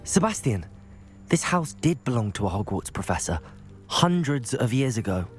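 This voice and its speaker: A man's voice: medium pitch, sing-song, normal speed, soft background music, natural voice. A young man playing a composed, thoughtful student wizard in a video game, speaking politely and with reserve. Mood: earnest, explanatory. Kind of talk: video game cutscene acting.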